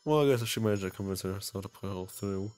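A man's voice: on the low side, brisk, darkish tone. A man hosting a video game playthrough, reading visual novel text and adding casual, self-mocking asides. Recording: music behind the voice. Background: game music.

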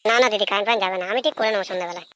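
A woman's voice: medium pitch, racing, a bit bright, lightly acted. A woman voicing a carefree young schoolboy in an amateur cartoon, with a casual, repetitive way of talking. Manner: casual, repetitive, brushing off advice. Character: carefree procrastinating young schoolboy, little brother.

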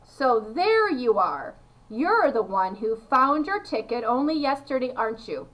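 A high, swooping voice for an eccentric little factory owner, acted out by a woman. He is effusive and excitable, piling up exclamations and repeats in storybook style. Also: unhurried, rough recording. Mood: overjoyed, bustling. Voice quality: high-pitched.

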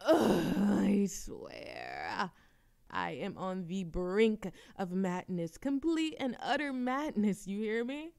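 A woman's voice: mid-range voice, slow pace, gravelly, full character voice. A woman playing a playful, dramatic young woman chatting teasingly with a best friend in an audio roleplay. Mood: exasperated, dramatic. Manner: animated complaining, teasing, theatrical exasperation. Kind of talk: intimate friend roleplay audio acting, conversational.

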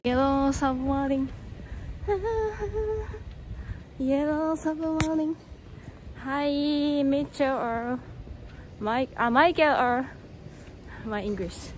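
A woman's voice: sits high, extremely slow, pauses often, darkish tone. A young woman from Japan with a Japanese accent, chatting casually on a live stream while walking outdoors and holding the camera at arm's length. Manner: chatty, reads viewer comments, hums song snippets. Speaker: vlogger. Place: suburban street outdoors, walking. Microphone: phone or action camera at arm's length. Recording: noisy outdoor street sound.

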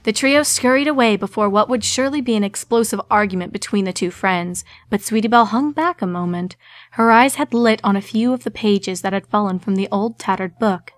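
A woman's voice: mid-range voice, a little fast. A woman reading scripted fan fiction as a story narrator. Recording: music behind the voice.

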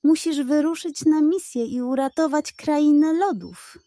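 A woman's voice: high-pitched, measured pace, dark tone, no echo, voice pushed up. A woman voicing a wise, grave female owl in a children's audiobook, speaking urgently and emphatically. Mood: solemn, instructing.

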